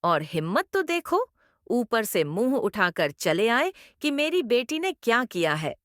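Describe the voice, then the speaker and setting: A woman acts out a scheming middle-aged mother-in-law from a cartoon. Her voice is fairly high and swings widely in pitch. She is theatrical and domineering, recorded close and dry. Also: very animated, measured pace, fairly bright tone, no echo. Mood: haughty, demanding. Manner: scolding, theatrical, emphatic. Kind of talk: Hindi moral-story cartoon voice acting.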